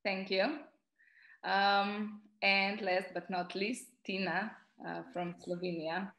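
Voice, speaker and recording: A woman chairs a conference roundtable and calls on each speaker in turn. Her pitch sits in the middle range, and she talks in a polite, measured way. The room around her has a noticeable echo. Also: little pitch movement, fairly bright tone. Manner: polite, measured, with frequent filler pauses. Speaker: roundtable moderator. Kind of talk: formal introductions at a conference panel.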